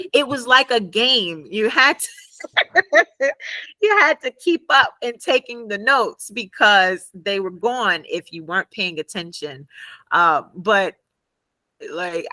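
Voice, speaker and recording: A woman guest and former math teacher in a conversational interview, telling animated stories and laughing often. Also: fairly low pitch, no room sound.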